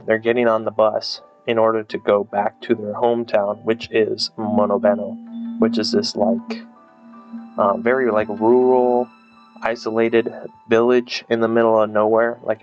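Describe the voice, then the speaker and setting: Slightly deep-voiced man rambling casually, dull mic. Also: little pitch movement, a little slow. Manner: rambling, hesitant, filler-heavy. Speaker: video creator reviewing a game. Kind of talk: casual unscripted review monologue. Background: faint music.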